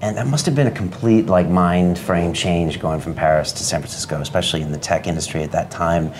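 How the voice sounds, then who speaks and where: Brisk deep-voiced male interviewer. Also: a little hiss or hum. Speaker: interviewer host. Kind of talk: interview conversation.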